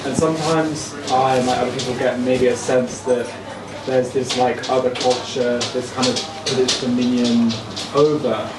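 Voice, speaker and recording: A man's voice: mid-range voice, level tone, normal speed. A man in an audience asking a casual, meandering question in a tentative way, with lots of filler "like". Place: audience area at a live talk. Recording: echoey, distant mic, loud room noise.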